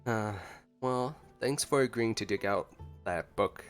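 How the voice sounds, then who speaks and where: A man's voice: fairly low pitch, unhurried. A man giving casual, playful reaction commentary on a video game, informal and teasing. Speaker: gameplay commentator. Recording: game music behind the voice.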